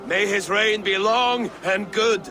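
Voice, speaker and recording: A man's voice: sits high, slow pace. A man playing a hearty, boastful Viking warrior in a video game cutscene. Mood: merry. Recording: music playing behind the voice.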